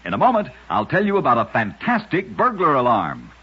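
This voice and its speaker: Male narrator for vintage radio oddities: raspy, animated, dramatic phrasing, on a dull lo-fi recording. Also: medium pitch, a little fast. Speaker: radio host and storyteller. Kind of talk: old-time radio scripted narration of curious facts.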